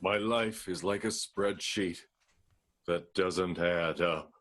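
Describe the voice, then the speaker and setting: A man acts out a gloomy romantic accountant in a radio comedy. His low voice drags mournfully over loud guitar music. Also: slow pace. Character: gushy-hearted, gloomy male accountant. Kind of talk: improvised radio comedy acting.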